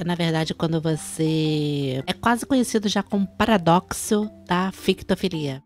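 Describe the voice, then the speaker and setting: Low-pitched young Brazilian woman, chatting. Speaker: video host. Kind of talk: casual explanatory chat.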